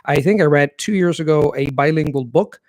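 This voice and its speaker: A man hosting a live viewer Q&A. His voice sits a little high for a man, and his explanations are earnest and long. The sound is dry and close, with no room echo. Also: a little fast, a little mellow. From Italy. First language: Italian. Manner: thoughtful and earnest, explaining at length. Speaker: language-learning channel host. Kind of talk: live Q&A, reading viewer questions and answering.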